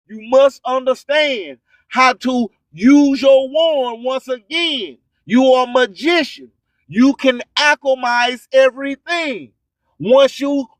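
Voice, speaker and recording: A man's voice: very high-pitched, no echo, poor audio. An American man hosting a radio show, preaching spiritual motivation in a declamatory, repetitive, rhyming delivery. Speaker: radio host.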